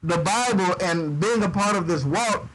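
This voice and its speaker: A man giving livestreamed Bible teaching in a relaxed preaching style, reading scripture aloud and riffing on it, often repeating words as he goes. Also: high voice, moderate pace, noisy background. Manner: conversational preaching, reads scripture aloud and riffs on it; uses Southern-style 'y'all', stumbles and repeats words. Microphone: livestream microphone.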